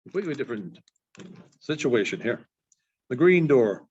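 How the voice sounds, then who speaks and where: A man reading short stories aloud in an expressive storytelling style, as a librarian does. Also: medium pitch, moderate pace, a little hiss or hum. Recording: dull, muffled lo-fi sound.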